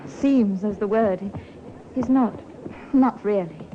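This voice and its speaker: An actress playing a genteel, solicitous young wife, polite and earnest, in 1950s radio acting style. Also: female voice, slow, close to an everyday voice. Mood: anxious, hushed. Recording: dull, noisy old radio recording with rumble.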